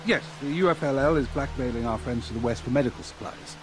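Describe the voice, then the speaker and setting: A man playing a rebel faction officer in a video game, giving a measured, matter-of-fact briefing. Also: mid-range voice, lightly acted. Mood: businesslike. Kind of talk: video game cutscene acting. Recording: clear outdoor ambient noise.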